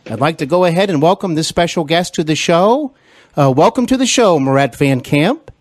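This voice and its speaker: A man's voice: on the high side, lively intonation, moderate pace, dry recording, clean studio sound. A man hosting a podcast interview, giving formal, welcoming introductions. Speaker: podcast host.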